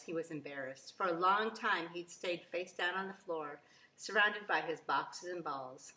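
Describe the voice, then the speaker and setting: A woman reading a children's storybook aloud in an expressive, deliberate storytelling way, with emphasis on key words. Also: fairly low pitch. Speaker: children's story reader, preschool teacher. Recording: echoey room.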